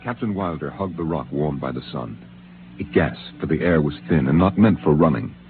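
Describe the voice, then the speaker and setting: A man narrating a radio drama in a measured, deliberate storytelling manner. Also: very deep voice, lively intonation, very noisy. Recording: tinny 1950s radio transcription with music.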